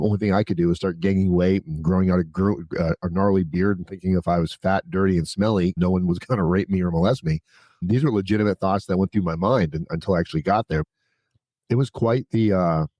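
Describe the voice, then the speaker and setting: A man's voice: sits low, dark tone, dry recording. A man speaking as a podcast guest, telling his story in a candid, conversational way with blunt, informal, casually sweary phrasing. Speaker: podcast guest, prison consultant.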